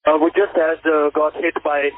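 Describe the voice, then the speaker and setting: A man working as an airline pilot, making halting calls to ground control over the radio. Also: on the high side, flat delivery. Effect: radio transmission. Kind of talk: radio call to apron control. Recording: tinny, noisy archived radio audio.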